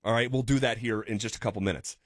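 Analytical sports radio host, dry studio. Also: male voice, lowish voice, steady tone, fast talker, no echo. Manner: analytical, builds points with frequent restarts. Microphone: studio microphone.

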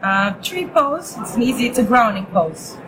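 A woman's voice: fairly high pitch, animated, moderate pace, a crowd in the background, poor audio. A woman who grew up in Israel with Hebrew as her first language, chatting as a yoga teacher guest in a loose, upbeat way, restarting often and laughing easily. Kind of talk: casual interview chat.

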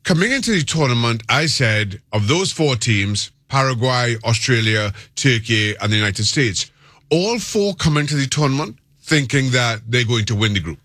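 A man with a Trinidadian accent giving considered soccer analysis as a guest, measured and articulate, often repeating words for emphasis. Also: medium pitch, animated, a bit bright, no room sound, studio quality. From Trinidad and Tobago. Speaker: soccer pundit, interview guest.

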